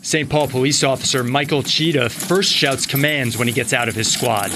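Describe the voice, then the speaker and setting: A man's voice: medium pitch, moderate pace, background noise. An American man narrating a scripted television news report.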